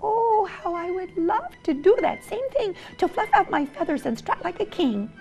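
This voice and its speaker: Woman voicing a high-pitched eager little turkey. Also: expressive, normal speed, a little mellow, faint music behind. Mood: wishful, longing. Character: eager, plucky little young male turkey. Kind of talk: storybook character voice.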